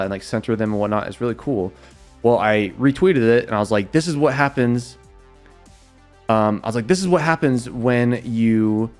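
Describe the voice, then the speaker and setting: A young man with a slightly raspy voice, casually answering viewer questions on a livestream in a rambling, filler-heavy way. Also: mid-range voice. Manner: rambling, loose, many fillers and repeats.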